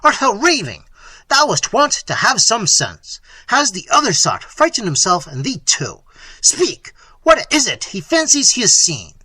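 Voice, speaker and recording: An imperious storybook prince, acted out by an audiobook narrator, hot-tempered and commanding. The voice is forceful and husky, swinging widely in pitch as he berates his servants in archaic phrases. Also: huge pitch swings, unhurried, no echo, heavily acted, low-quality recording. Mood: furious, impatient. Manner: archaic, commanding, impatient exclamations.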